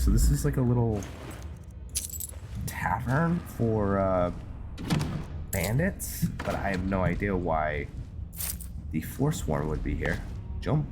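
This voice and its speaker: Low, slow-talking man over loud music. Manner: thinks aloud, halting, narrates own actions. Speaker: gaming video host. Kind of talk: casual game playthrough commentary. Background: loud game music.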